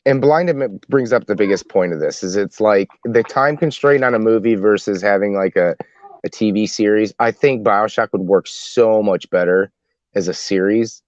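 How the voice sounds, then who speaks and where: A man with a very deep voice chats as a podcast panelist. He works toward his point haltingly, repeating words as he goes. The audio is close and dry, slightly muffled. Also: darkish tone, no room sound. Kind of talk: casual panel discussion.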